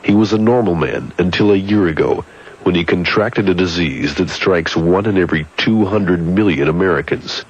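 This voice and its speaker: A man playing a grave, deliberate announcer in a parody public service radio message. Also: sits low, slightly rough. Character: solemn male announcer of a mock medical appeal. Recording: muffled old radio broadcast tape.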